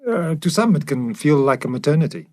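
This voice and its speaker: A man's voice: a little high, sing-song, brisk, a little mellow. A man co-hosting a podcast on a studio microphone, chatting informally and putting questions conversationally. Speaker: podcast co-host. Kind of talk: casual co-hosted podcast discussion. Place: professional recording studio.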